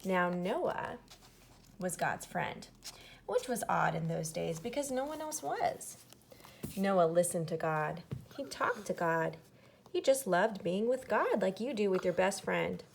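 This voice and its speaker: Slow-paced woman reading a children's story. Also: fairly low pitch, expressive, vocal fry, slight background noise. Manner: dramatic, with hushed emphasis. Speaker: storybook reader. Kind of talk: expressive children's story read-aloud.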